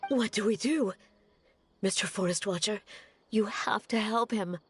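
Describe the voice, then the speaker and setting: A woman's voice: medium pitch, a little slow, faint music behind, natural voice. A woman voicing an anxious, pleading mother in a video game. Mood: worried. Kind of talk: English game voice acting.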